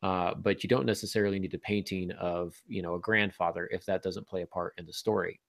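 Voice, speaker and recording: A man with a deep, creaky voice talks as the host of a casual panel. He explains through everyday examples with dry humor. The sound is close and dry, with very little room. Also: fairly even intonation, a little fast, no room sound. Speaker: podcast host and fiction writing teacher.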